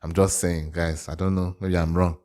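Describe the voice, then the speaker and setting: A man with an African accent talks as the host of a reaction video. His voice sits on the low side. He works through his thoughts out loud, hesitating and circling back over his own phrases in a casual way. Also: flat delivery, moderate pace, slight background noise. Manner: hesitant, repetitive, thinking aloud.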